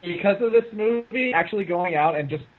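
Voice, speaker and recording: A man's voice: high-pitched, lively intonation, a little fast, narrow, tinny audio. A man chatting casually and jokingly with friends as he comments on a film. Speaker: co-host of a movie riffing show.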